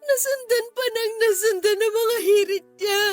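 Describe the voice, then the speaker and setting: A woman's voice: very high-pitched, normal speed. An actress with a Filipino accent playing a distraught, ashamed young woman in a radio drama, crying as she pleads. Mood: tearful, ashamed. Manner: tearful, pleading, self-blaming. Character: distraught, ashamed young woman confessing abuse to her cousin. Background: background music.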